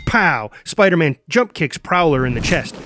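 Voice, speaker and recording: A man with a fairly high voice reads action-script stage directions. His delivery is clipped, punchy and dramatic, over light background music. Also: big pitch swings, normal speed, bright, forceful tone. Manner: punchy, clipped delivery with exclaimed sound words. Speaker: stage-direction narrator at a table read. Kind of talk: dramatic scripted narration in present tense.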